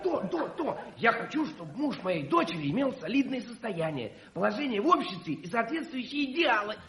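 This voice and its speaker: A bustling amateur director in a radio comedy. He prompts urgently in a high, fast, very lively voice, with music in an echoey room. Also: a crowd in the background. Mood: urgent prompting. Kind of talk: radio play acting.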